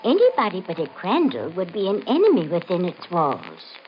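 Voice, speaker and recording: A woman's voice: fairly low pitch, wildly swooping pitch, moderate pace. An actress playing an eerie, insistent woman obsessed with her house, pleading and ominous in a 1950s radio mystery style. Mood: eerie, intense. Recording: muffled, noisy 1950s radio recording.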